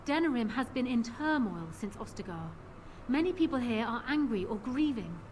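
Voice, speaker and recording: Woman acting a proud, high-voiced young fantasy queen. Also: animated, moderate pace, darkish tone, lightly acted. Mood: measured, suspicious. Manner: formal, composed, assertive. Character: proud, calculating young widowed queen, regal and resolute. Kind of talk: dramatic fantasy video game acting. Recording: game audio with clear background noise.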